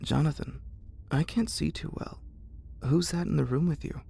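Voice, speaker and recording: A man's voice: a little high, normal speed, creaky, dark tone, slightly rough, voice pushed up, full character voice. A man voicing a worried, insistent mother in her sixties, raised and urgent, in an ASMR horror story.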